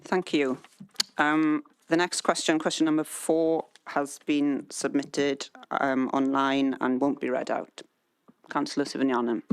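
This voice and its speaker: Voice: medium pitch, level tone, unhurried, smooth, no echo. A meeting chair keeping a council meeting moving with brief, procedural cues. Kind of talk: formal chairing.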